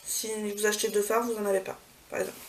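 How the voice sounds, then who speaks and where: A woman's voice: medium pitch, flat delivery, fast talker. A woman vlogging a casual beauty haul, chatty, with many fillers and self-corrections. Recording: far from the mic, clear background noise.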